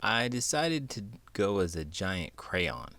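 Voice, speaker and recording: A man hosting a children's read-aloud, speaking in an expressive, playful storytelling style. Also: low-pitched, slow. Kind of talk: lively children's storytelling and chat.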